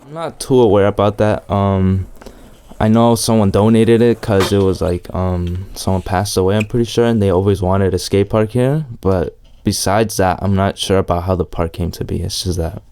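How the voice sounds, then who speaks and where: The laid-back young guy you would meet resting on his bike, answering questions in slang, his voice lowish and mellow. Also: fairly even intonation, moderate pace, slight background noise. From El Salvador, long resident in New York. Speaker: interviewee, amateur BMX rider. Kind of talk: casual chat.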